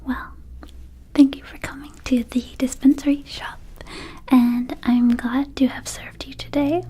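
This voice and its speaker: A quirky little vampire shopkeeper, played by a woman in an intimate ASMR roleplay. She speaks in a soft, mellow whisper with halting, affectionate delivery, as if confiding in a dear friend. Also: sits high, flat delivery, breathy edge. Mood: affectionate, sheepish. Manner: gentle, halting, intimate, addressing the listener as a friend. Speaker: ASMR performer.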